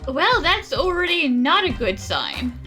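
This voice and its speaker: A woman's voice: high voice, big pitch swings, a little slow, bright, forceful tone, faint music behind, heavily acted. A woman voicing a small, chirpy female companion in a video game who makes blunt, teasing remarks. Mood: dry.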